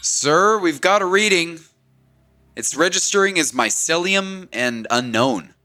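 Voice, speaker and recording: A man's voice: a little high, expressive, a little slow, sharp tone, pitched above the performer's own voice. A man voicing an eager young field agent. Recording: faint music behind.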